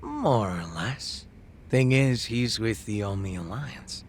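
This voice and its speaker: A secretive, understated man in a dramatic game scene. He speaks slowly and haltingly, in a lowish voice that rises and falls, over some ambient noise. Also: animated. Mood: guarded. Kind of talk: dramatic video game dub acting.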